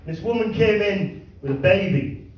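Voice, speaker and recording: A man doing a stand-up comedy set in a club, delivering crude deadpan punchlines with halting asides to the crowd. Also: high voice, muffled recording, slight background noise. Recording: echoey club miked from a distance. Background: audience laughter.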